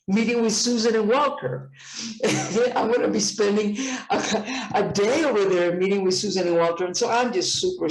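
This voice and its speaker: A woman in her seventies from Puerto Rico speaks with eager enthusiasm, doubling back on words as her thoughts run ahead. Her voice sits at a middling pitch. The call has a roomy, echoey sound. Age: 70s. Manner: enthusiastic, repeats words when excited. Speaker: participant. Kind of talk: casual video-call chat.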